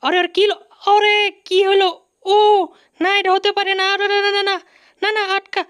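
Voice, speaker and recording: A cartoon mouse uncle who speaks very high and close in a colloquial Bangladeshi accent. He is bossy and boastful, and gets flustered easily, repeating himself. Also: measured pace, dry recording. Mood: alarmed. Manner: excitable, repeats words when panicking. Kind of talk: comic cartoon dub.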